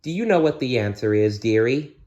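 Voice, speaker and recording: A man voicing a kindly, motherly female fairy, reciting riddles deliberately in a bedtime story. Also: mid-range voice, lively intonation, darkish tone, muffled recording, voice pushed up, lightly acted. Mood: encouraging.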